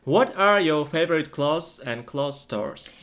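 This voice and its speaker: A man's voice: fairly high pitch, lively intonation, slow pace, fairly bright tone, slight background noise. A man co-hosting an English lesson, chatting through questions and answers in short, simple, plainly worded sentences. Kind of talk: conversational question-and-answer for learners.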